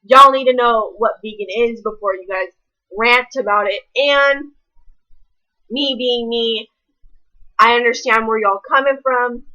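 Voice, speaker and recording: A woman's voice: fairly high pitch, steady tone, a little slow, sharp tone, muffled recording. A young woman, ranting casually to camera in a blunt, rambling way full of swearing. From American South. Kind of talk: casual vlog rant.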